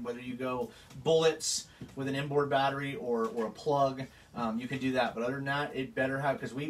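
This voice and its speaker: A man's voice: medium pitch, fairly bright tone, recorded from a distance, slight background noise. A man hosting a casual livestream chat about an RC car race, rambling with lots of fillers. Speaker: RC racing event organizer.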